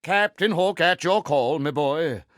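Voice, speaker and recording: Vain pirate villain, British accent, highish swooping pitch, grandiose, mannered, theatrical game voice. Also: male voice, lively intonation, unhurried, fairly bright tone, dry recording, clean studio sound. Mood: grandly courteous. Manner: pompous, grandiose, mannered formal speech. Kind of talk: theatrical video game character voice acting.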